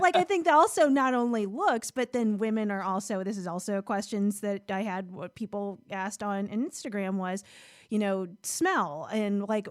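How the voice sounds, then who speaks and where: A woman in her thirties hosting a podcast, talking candidly and chattily about her own questions. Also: mid-range voice. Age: 30s. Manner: candid, chatty, quick to laugh. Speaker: podcast host. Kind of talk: casual podcast conversation.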